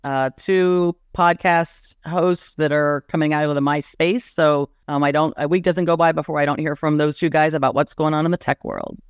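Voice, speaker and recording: A woman's voice: deep voice, steady tone, moderate pace, hardly any pauses, clean tone, dry recording, studio quality. A woman speaking as a guest on a business podcast, chatting casually. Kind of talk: casual panel chat.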